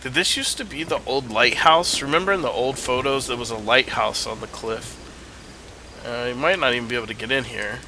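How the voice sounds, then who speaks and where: A man's voice: medium pitch, fairly quick, sharp tone, a little hiss or hum, poor audio. A man casually commenting while playing a video game, rambling and thinking aloud, with a stuffy nose. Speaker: gameplay streamer. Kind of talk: casual let's-play commentary.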